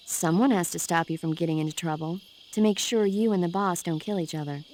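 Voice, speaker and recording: A woman's voice: on the low side, a little fast, a little mellow, close to an everyday voice. Woman playing a caring American medic, insect noise behind. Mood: earnest, caring. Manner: playful, nagging, earnest. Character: caring, teasing female military medic on radio support. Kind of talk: dramatic video game voice acting.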